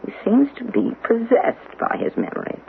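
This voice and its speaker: A woman's voice: on the high side, wildly swooping pitch, moderate pace, gravelly, full character voice. A woman playing a polite, worried churchgoer in a 1970s radio drama, speaking in a genteel, careful way. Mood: worried, reticent. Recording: muffled lo-fi radio recording with faint noise.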